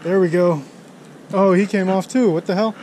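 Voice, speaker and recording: High-pitched American man chatting while fishing. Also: steady tone, moderate pace, dark tone, poor audio. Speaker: fishing vlogger. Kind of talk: casual chat while fishing. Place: outdoors beside an urban river. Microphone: action camera worn on the body. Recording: faint outdoor city noise.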